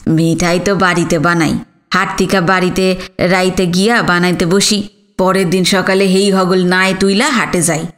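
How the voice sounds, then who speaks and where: Woman doing a humble low-pitched East Bengali shopkeeper. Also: flat delivery, normal speed, lightly acted. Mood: plain, resigned. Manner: humble, respectful. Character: humble, hardworking village sweet-shop man, deferential to customers. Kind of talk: audiobook character dialogue.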